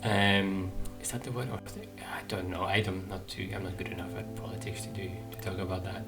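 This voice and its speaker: A man's voice: low voice, little pitch movement, fairly quick. A man giving casual playthrough commentary, thinking aloud in a hesitant, musing way. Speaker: gaming video host. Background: game music.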